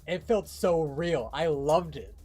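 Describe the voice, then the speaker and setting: A man's voice: fairly high pitch, takes it slowly, darkish tone, slightly rough, soft background music. A man co-hosting a behind-the-scenes livestream, talking casually about a creative project.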